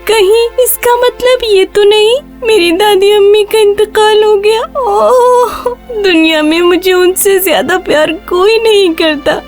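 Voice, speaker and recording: A woman's voice: very high-pitched, soft background music. A woman voicing an innocent, poor little girl in a children's cartoon story, speaking in a polite, plaintive childlike way. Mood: pleading, tearful.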